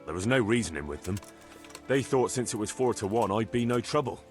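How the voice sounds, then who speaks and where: Man acting Henry, lively plain-spoken medieval lad. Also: lowish voice, a little fast, soft background music, lightly acted. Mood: respectful, plain. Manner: plain-spoken, respectful, informal. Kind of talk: video game cutscene acting.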